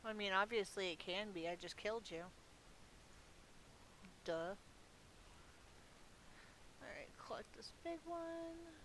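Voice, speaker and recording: A woman's voice: mid-range voice, sing-song, slow, pauses often, a bit bright, low-quality recording. Chatty woman gamer with background hiss. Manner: chatty, self-amused, thinking aloud. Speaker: gaming video host. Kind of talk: casual rambling gameplay commentary. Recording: clearly audible background hiss.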